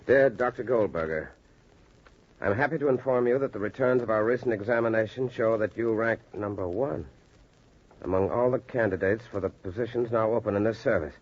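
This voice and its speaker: An American man acting an earnest, determined doctor and storyteller in a measured 1940s radio style. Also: fairly low pitch, darkish tone, slightly rough. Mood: hopeful. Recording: muffled vintage radio, music behind.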